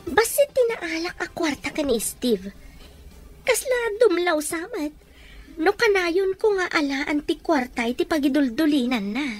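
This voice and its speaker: Actress as conniving young wife, very high-pitched and swooping, for radio drama. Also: female voice, lively intonation, unhurried, soft background music. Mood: scheming. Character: scheming young wife plotting against her ailing older husband.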